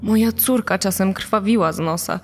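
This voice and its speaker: A woman's voice: medium pitch, moderate pace, darkish tone, big-room sound, faint music behind, lightly acted. An actress playing a worried mother of a missing girl, giving testimony in a true-crime dramatisation. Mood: sombre, firm.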